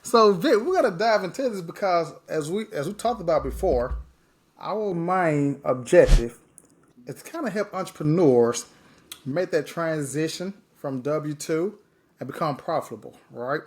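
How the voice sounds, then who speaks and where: A man from Mississippi, hosting a podcast interview in a lively, encouraging, conversational way. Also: a little high, unhurried. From Mississippi, USA. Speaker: podcast host.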